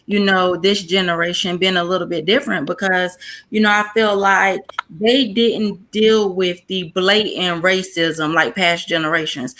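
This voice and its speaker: A woman's voice: on the low side, bright, forceful tone. A woman speaking thoughtfully and steadily in a casual group discussion, building on what others have said.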